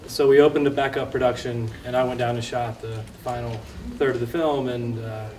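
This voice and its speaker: A film director, a man, answering audience questions after a screening. He sounds a bit hoarse and talks conversationally, often restarting his sentences. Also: medium pitch, steady tone, fairly quick, a little mellow, slight background noise. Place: large screening hall. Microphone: lost microphone, speaking unamplified. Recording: echoey hall, voice from far off.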